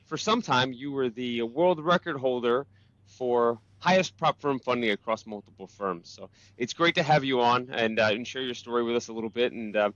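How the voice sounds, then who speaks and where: A man's voice: medium pitch, a bit bright, dry recording, lo-fi sound. A man hosting a live show, asking questions in a friendly, upbeat way. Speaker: host. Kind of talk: live interview.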